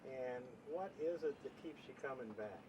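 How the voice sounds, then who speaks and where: American male interviewer, mid-pitched, informal and tentative, clear background noise on old videotape. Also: expressive, normal speed, muffled recording. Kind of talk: casual documentary interview. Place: on location at a desert camp, ambient noise. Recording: noisy 1990 amateur videotape sound.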